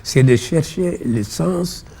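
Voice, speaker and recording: A man with a Senegalese accent and Pulaar as his first language, explaining ideas in measured, didactic phrases as an interview guest. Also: fairly high pitch, expressive, vocal fry, mellow, breathy edge. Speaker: interview guest, philosophy author.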